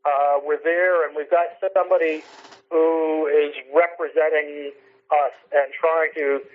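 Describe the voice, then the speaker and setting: A man's voice: a little high, level tone, a little slow. A man giving emphatic political commentary over the telephone on a radio show, repeating phrases for stress. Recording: tinny, narrow telephone line.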